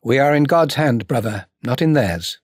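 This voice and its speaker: Man reading as a firm, husky wartime hero. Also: medium pitch, expressive, normal speed, dry recording, studio quality, voice pushed up. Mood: firm, urgent. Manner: firm, urgent. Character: Clement, resolute man taking command during a wartime air raid. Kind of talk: audiobook character dialogue.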